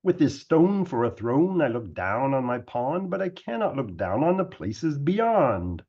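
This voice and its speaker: A man's voice: mid-range voice, sing-song, a little slow, darkish tone, lo-fi sound. A man voicing a pompous, boastful turtle king in a storybook, with an imperious, bellowing manner. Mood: discontented. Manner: imperious, bellowing, self-admiring.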